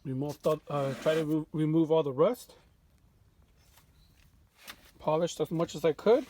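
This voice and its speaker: A man giving an unscripted show-and-tell of his restoration work in a home workshop, relaxed and full of fillers and restarts. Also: fairly high pitch, unhurried, slight background noise. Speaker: vintage radio restorer.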